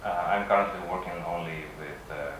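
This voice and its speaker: A man with a Russian accent, a native Russian speaker, giving a halting conference presentation with frequent pauses. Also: deep voice. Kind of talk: conference presentation, semi-improvised. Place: conference session room. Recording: echoey room from far off, faint noise.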